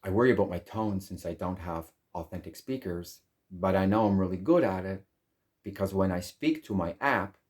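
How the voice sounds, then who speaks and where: A man whose first language is English, hosting his own channel and speaking calmly and deliberately in measured phrases. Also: deep voice. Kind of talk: slow reflective monologue.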